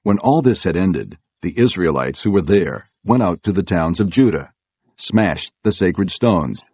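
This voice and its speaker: A man reading scripture as an audiobook narrator, in a measured, solemn way. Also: low-pitched, lively intonation, a little mellow, husky, dry recording.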